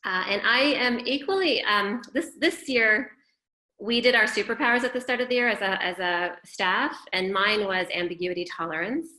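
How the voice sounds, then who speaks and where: A woman's voice: medium pitch, moderate pace, sharp tone, echoey room, poor audio. A woman from Arizona, a head of school, introducing herself in upbeat, reflective webinar remarks over a video call. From Phoenix, Arizona. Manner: upbeat, reflective, self-deprecating.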